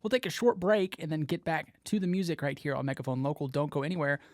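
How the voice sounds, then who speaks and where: A man's voice: a little high, fairly even intonation, fast talker, no echo, clean studio sound. A man presenting a local music radio show in a casual DJ style.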